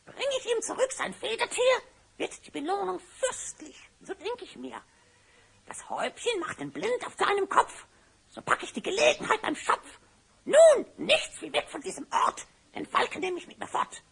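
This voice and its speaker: A woman's voice: extremely high pitch, very animated, moderate pace, gravelly, heavily acted. A woman voicing a sly, gleeful hyena spy who schemes theatrically in rhyme for a fantasy audio play. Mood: scheming. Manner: theatrical scheming, frequent cackling, rhyming speech.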